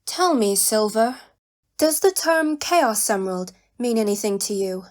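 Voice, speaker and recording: A fairly high female voice acted by a woman for a proud young cat warrior in a fan audio story. She is guarded yet courteous, with formal, measured phrasing and steady composure. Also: close to an everyday voice. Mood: cool, formal.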